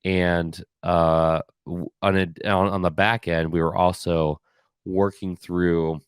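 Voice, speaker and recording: A man hosting a podcast alone, slightly hoarse and raspy, talking casually with many fillers and restarts. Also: sits low, flat delivery, slow pace, no room sound, studio quality. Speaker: podcast host. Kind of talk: solo casual year-in-review talk.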